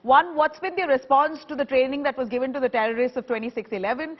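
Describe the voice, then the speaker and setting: An Indian woman anchors live news in a high-pitched voice. The sound carries a long reverb and is slightly dull, as on a muffled broadcast. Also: fast.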